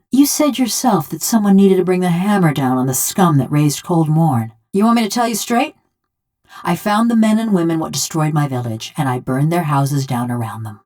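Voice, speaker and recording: A woman's voice: low voice, darkish tone. An actress playing a wry, blunt, vengeful woman killer whose soul lives in a bronze body, speaking in a folksy rustic drawl for a video game. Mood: defiant, grim. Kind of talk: video game character dialogue.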